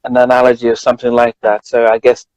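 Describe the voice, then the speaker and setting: A man with a British accent in a casual conversation, halting and repeating words as he finds his point. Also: medium pitch, completely flat delivery, a little mellow, rough recording. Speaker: journalist co-host. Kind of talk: casual chat.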